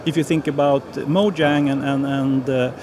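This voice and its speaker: A man's voice: mid-range voice, little pitch movement, normal speed, noisy background. A man from Sweden speaking as a panelist on an online panel, plain and pragmatic, hesitating and repeating words.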